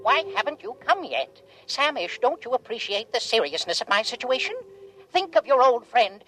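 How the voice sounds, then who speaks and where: A dramatic scheming alien, old 50s radio play, very formal n pleading, voice swoops all over. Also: female voice, on the high side, sing-song, normal speed, fairly bright tone, faint music behind. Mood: pleading, indignant. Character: scheming, self-pitying alien writing to an old friend. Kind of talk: 1950s radio acting, scripted narration.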